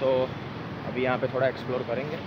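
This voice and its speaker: A man's voice: medium pitch, little pitch movement, fast talker, highs cut off. A young man from India narrating a casual vlog to a phone camera held at arm's length outdoors, joking with his friends. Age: young adult. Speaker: vlogger. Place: outdoors on a city street and riverfront. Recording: noisy outdoor phone recording. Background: traffic and street noise.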